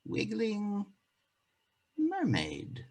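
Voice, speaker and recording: A man's voice: high voice, huge pitch swings, drawn-out words. A man telling a folktale as a theatrical monologue, with dramatic, declamatory phrasing. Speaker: actor telling a folktale in a play.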